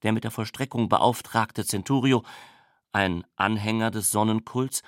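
A man's voice: lowish voice, normal speed, no echo. Man giving a dry, formal literary reading.

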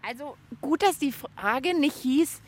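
High, lively young woman co-hosting. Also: measured pace. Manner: cheerful and chatty, thinks aloud. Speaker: children's radio co-host. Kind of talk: lively hands-on radio chat.

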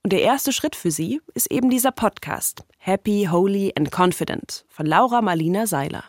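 Female co-host, mid-pitched voice, scripted documentary storytelling, dry close sound. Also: expressive, moderate pace, no echo. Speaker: podcast co-host and narrator.

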